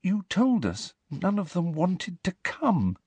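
A man acts out an alarmed young girl from a storybook. The voice is pitched high and shrill, leaping about with indignant disbelief, in a dry close recording. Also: huge pitch swings, slow pace, a bit bright, dry recording, heavily acted. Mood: terrified. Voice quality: shrill, breathy when alarmed. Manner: incredulous, exasperated questioning.

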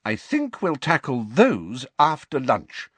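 A man's voice: mid-range voice, wildly swooping pitch, slow, a bit bright, husky, no room sound, voice pushed up, full character voice. A man voicing a stern, bossy middle-aged mother who speaks firmly and scolds, in an audiobook. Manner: firm, scolding.

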